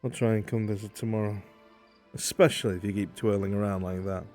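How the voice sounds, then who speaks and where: A man's voice: fairly low pitch, fairly even intonation, fairly quick, a little mellow, faint music behind, lightly acted. A man playing a commanding, protective fantasy leader in a live roleplay, terse and given to orders. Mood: quiet, protective. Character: commanding, protective man, self-assured dangerous leader of hunters.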